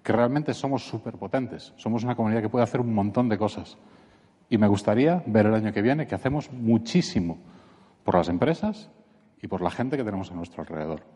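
A man's voice: on the low side, fairly quick, husky. A man from Barcelona with a Spanish accent, giving a conference talk and acting out quotes in a mocking voice. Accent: Spanish from Catalonia. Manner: animated, acts out quotes in a mocking voice. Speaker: conference speaker. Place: conference hall. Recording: echoey conference hall.

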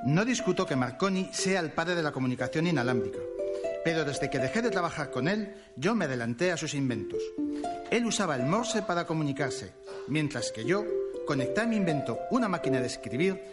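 A proud, aggrieved male inventor, acted by a man in a comic radio play. The voice sits a little high, with loud music behind it. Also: expressive, fairly quick, lightly acted. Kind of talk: comic radio drama acting.

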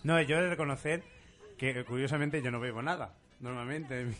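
Man, amateur stage actor, fast and jokey radio guest. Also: mid-range voice, fairly bright tone. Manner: jokey storyteller, laughs while talking. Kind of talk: casual radio interview chat.

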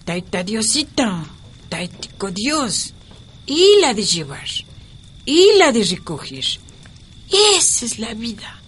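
Frail old Andean woman, slow and tender. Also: fairly high pitch, sing-song, slight background noise. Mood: weary, tender. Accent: Ecuadorian Andean. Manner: slow, affectionate, pleading, full of diminutives. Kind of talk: radio drama acting.

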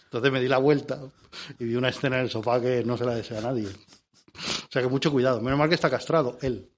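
A man's voice: medium pitch, steady tone, quick pace. A man from Spain with a Spanish accent doing joking radio chat, theatrical and repeating himself for emphasis. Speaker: comic regular of a radio show segment.

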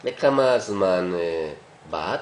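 A man's voice: low-pitched, expressive, reverberant. Man teaching phrases, faint background noise. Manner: deliberate, with hesitant pauses inside phrases. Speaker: language teacher. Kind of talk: vocabulary lesson, pronouncing phrases for repetition.

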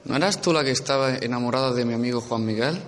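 A young male student plays a gentle, loyal teenage boy, quietly pining. The voice is mid-pitched and rings with long reverb, as in an amateur radio soap. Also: fast, natural voice. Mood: wistful. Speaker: student radio drama actor. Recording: reverberant amateur school recording.

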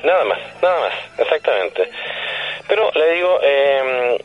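A man with a Chilean accent explaining things conversationally as a radio guest, didactic and halting, often checking that he is being followed. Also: medium pitch, sing-song, soft background music. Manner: didactic, halting, frequently seeks confirmation. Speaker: radio guest on paranormal topics. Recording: dull, band-limited phone or radio line.

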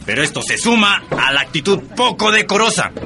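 An actor with an Ecuadorian accent playing a stern, pompous, judgmental school inspector in a radio soap opera. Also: male voice, high-pitched, big pitch swings, brisk, background noise. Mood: disapproving. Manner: pompous, disapproving.

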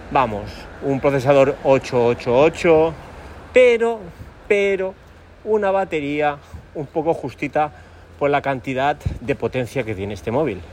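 A man with an accent from Spain chatting casually as a podcast host while out walking, in a rambling, hesitant way as he searches for words. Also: mid-range voice. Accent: Spain Spanish. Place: outdoors, walking in town. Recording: outdoor street and vehicle noise.

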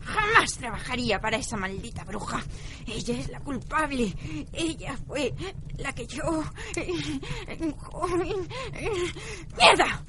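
Feisty old cleaning woman voiced by an actress, highish animated delivery, radio drama, slight background noise. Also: takes it slowly. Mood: indignant.

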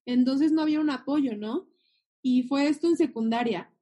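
Earnest young woman, high voice. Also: moderate pace, dark tone. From Mexico. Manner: earnest, heartfelt storytelling. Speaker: guest. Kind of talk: personal faith testimony.

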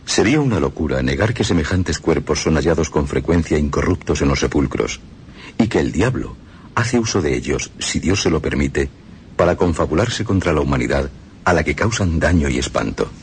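Extremely deep man, somber reading. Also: animated, moderate pace, a little hiss or hum. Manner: somber, weighty recitation. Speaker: reader of quoted texts. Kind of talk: solemn scripted reading. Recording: radio broadcast with clear music bed.

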